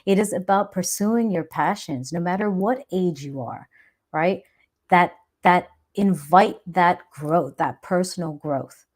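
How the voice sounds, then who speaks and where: Earnest low-voiced woman hosting a live chat. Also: unhurried, darkish tone. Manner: earnest, reflective, keeps adding "right?". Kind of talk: motivational live stream talk.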